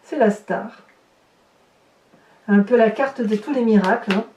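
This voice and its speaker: A woman's voice: mid-range voice, a little slow, a little mellow. A woman giving an improvised tarot reading to viewers, conversational, commenting on cards as she turns them and tagging her phrases with little interjections. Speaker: tarot reader and horoscope host. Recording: echoey room, distant mic, faint noise.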